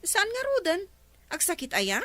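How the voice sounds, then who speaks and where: Woman acting high-pitched gossipy Ilocano neighbor. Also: huge pitch swings, normal speed, bright, forceful tone, faint music behind, full character voice. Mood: prying. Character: teasing, prying gossipy female neighbor. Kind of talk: radio comedy drama acting.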